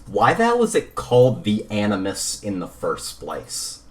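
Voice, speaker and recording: A man's voice: fairly low pitch, wildly swooping pitch, a little slow. A man co-hosting a podcast, talking casually in an analytical, matter-of-fact manner. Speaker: podcast co-host. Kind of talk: casual chat.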